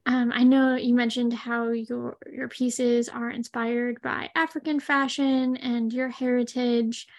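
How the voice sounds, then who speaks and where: A woman's voice: a little high, little pitch movement, slow pace, few pauses. A woman hosting a podcast, asking questions in a friendly, affirming way with filler words like "like" and "kind of.". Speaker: podcast host. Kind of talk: interview questions.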